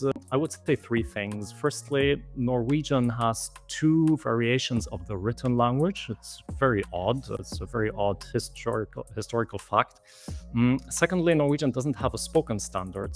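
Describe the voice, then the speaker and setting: A man from southeast Germany, answering interview questions in a thoughtful, explanatory way as a guest. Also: medium pitch. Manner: thoughtful, explanatory, lightly amused. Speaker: guest, language course author.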